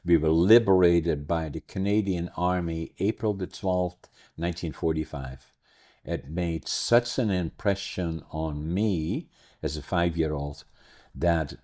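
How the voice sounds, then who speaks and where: A man's voice: deep voice, a little slow, dark tone. A man, hosting a podcast and telling stories in a rambling way. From Netherlands. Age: 80s. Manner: rambling, storytelling, self-correcting. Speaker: podcast host. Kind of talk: casual interview chat.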